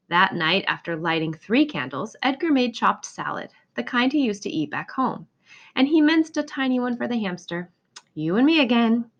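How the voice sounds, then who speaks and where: A woman reading a children's picture book aloud in an expressive storytelling manner. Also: on the low side, lo-fi sound. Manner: expressive, acts out exclamations and dialogue. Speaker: storybook reader.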